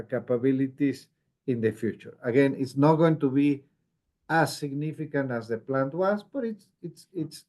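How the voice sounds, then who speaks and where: A man in a company leadership role answers questions on an earnings call. His voice is mellow and sits at an average pitch. He explains in a measured way, often restating a phrase to underline it. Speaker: company executive.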